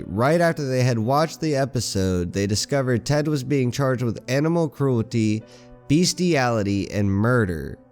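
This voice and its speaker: A man with a raspy voice from a cold, reading a horror story aloud as a channel narrator in a slow, grim way. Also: mid-range voice, a little mellow. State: hoarse with a cold. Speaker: creepypasta narrator.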